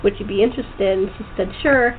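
A woman hosting a casual interview chat, talking in a halting, rambling way with repeated words. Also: medium pitch. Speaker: radio show host and voice actor. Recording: tinny phone line with clear noise.

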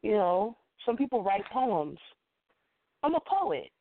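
A congested woman recovering from the flu, speaking deliberately and reflectively as an interview guest who is a poet and educator. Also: mid-range voice, big pitch swings, no room sound. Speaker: featured guest, spoken word poet and educator. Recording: muffled phone-quality call-in line.

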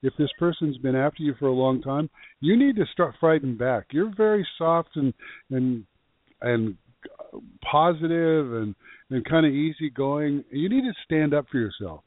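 A man's voice: fairly high pitch, expressive, moderate pace, dry recording. A man co-hosting a call-in radio show as a psychic medium, giving blunt, direct readings to callers and stumbling over his words as he starts. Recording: tinny phone-line sound.

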